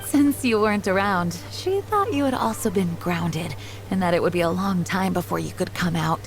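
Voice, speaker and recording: A woman's voice: on the low side, lively intonation, moderate pace, faint music behind. A woman voicing a responsible, considerate young commander in a video game, composed and formal in manner. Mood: exasperated. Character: responsible, kindly young female acting commander.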